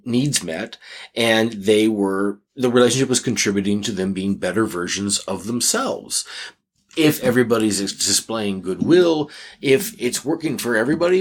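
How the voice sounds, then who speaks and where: A man with a fairly low voice co-hosts a casual advice podcast. His intonation is very animated, and he stresses his points emphatically. He comes across as reflective, laying out thoughts in measured phrases. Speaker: podcast co-host answering listener questions.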